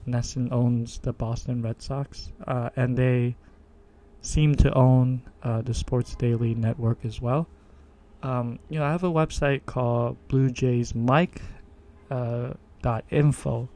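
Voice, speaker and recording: A man hosting his own podcast, introducing himself in a low monotone voice and stopping often with fillers and restarts. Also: slow. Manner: hesitant, many fillers and restarts. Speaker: podcast host. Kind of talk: casual self-introduction monologue. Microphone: desktop USB microphone.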